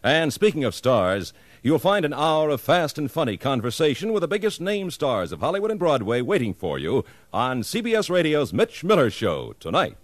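A man's voice: fairly high pitch, big pitch swings, moderate pace, no room sound. A man with an American accent announcing scripted 1950s radio promotions in a polished, punchy way.

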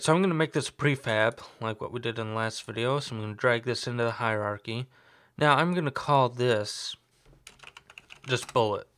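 A young man with a slight sore throat, talking through a screen-recorded coding tutorial in a relaxed, explanatory way. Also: medium pitch. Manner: relaxed, explanatory, thinks aloud with fillers. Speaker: tutorial host.

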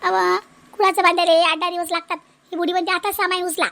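Woman playing an aggrieved, high-pitched young daughter-in-law. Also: little pitch movement, fast, gravelly, pushed and bright, full character voice. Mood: worried, reproachful. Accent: Vidarbha Marathi. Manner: complaining, repetitive. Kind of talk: comedy cartoon dialogue.

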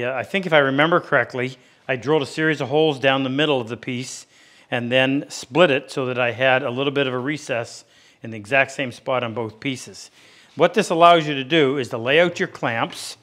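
A man's voice: mid-range voice, fairly bright tone. A man in his fifties giving a plain-spoken, practical hands-on lesson as a woodworking instructor in a workshop. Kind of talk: hands-on instructional demonstration, unscripted explanation.

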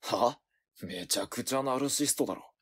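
A man voicing a gruff, blunt young accomplice in a voice drama, speaking tersely. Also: medium pitch, normal speed, lightly acted. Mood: sardonic. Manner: terse, rough casual speech. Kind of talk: Japanese voice drama acting.

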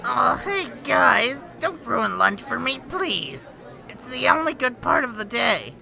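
Reluctant, weary high schooler played by a woman, bright and heavily creaky, game dub. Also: very animated, slow pace, some chatter behind, heavily acted. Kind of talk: game fandub acting.

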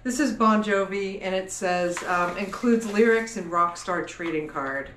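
A woman's voice: mid-range voice, a little slow, fairly bright tone. A woman, a vlog host, chatting casually and unscripted as she sorts through things. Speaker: host, vintage reseller. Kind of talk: casual unscripted chat while sorting items. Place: cluttered room indoors at home. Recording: noisy room with handling clicks.

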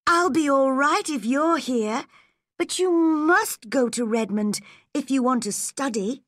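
A woman voicing a worried, gentle elderly woman, soft and sorrowful. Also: high voice, takes it slowly, no room sound, studio quality, pitched above the performer's own voice.

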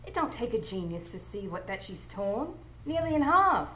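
A woman's voice: mid-range voice, big pitch swings, moderate pace, sharp tone. A woman playing a protective, plain-spoken motherly housekeeper in a live radio drama, chiding and earnest in a folksy way. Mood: chiding, concerned. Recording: roomy live stage, faint noise.

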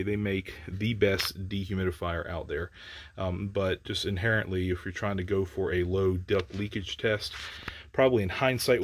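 A man's voice: fairly low pitch, slight background noise. A man in his forties, an HVAC contractor, explaining a test in a practical, plainspoken way inside a house under construction, filmed on a handheld phone. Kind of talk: instructional job-site vlog.

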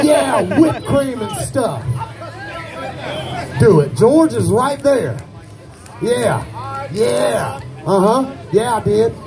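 A man on a wrestling ring bellowing scolding, preachy taunts at the fans, his voice high and drawn out slowly. He is recorded from ringside in the open air, with a noisy crowd and music around him. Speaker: wrestler cutting a heel promo. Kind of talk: shouted in-ring trash talk. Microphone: far fan camera at ringside.

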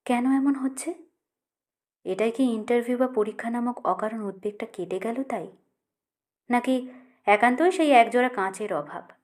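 High-voiced woman narrating an audiobook. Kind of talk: expressive literary story narration.

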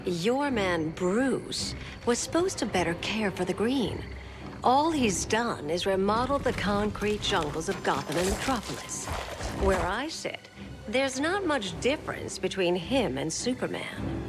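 A sultry game villainess with a mid-pitched voice that glides widely. She sounds cool and disdainful, with dramatic music behind her. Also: very animated, unhurried. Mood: cool, threatening. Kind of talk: cinematic video game acting.

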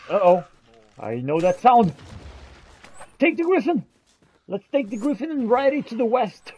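Animated man roleplaying through a game. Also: very high voice, unhurried, dull audio, a little hiss or hum. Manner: exclamatory, talks to himself and game companions. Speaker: gamer playing in character. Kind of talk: roleplay gameplay commentary.

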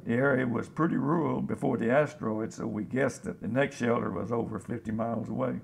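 An actor playing a folksy, plain-spoken, hopeful scavenger in a radio drama about survivors of a disaster. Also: male voice, medium pitch, brisk, vocal fry, a little hiss or hum. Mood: reflective, matter-of-fact. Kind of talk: radio drama, interview monologue.

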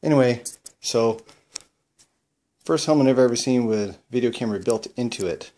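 A man's voice: mid-range voice, moderate pace, dark tone. A man casually explaining his home-built gear in a plain, matter-of-fact way, recorded indoors at home. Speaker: paraglider showing his gear. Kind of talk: casual product demo.

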